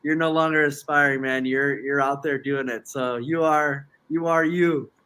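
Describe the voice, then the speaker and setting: A man's voice: a little high, steady tone, normal speed, pushed and bright, smooth. A man co-hosting a show, giving laid-back, encouraging feedback in casual chat.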